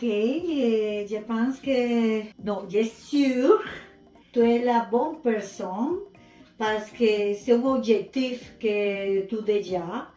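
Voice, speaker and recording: A middle-aged woman gives an informal testimonial slowly, searching for words in non-native French. She is in an echoey room, with faint music behind her. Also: medium pitch, a little mellow. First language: not French. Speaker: mother giving a testimonial.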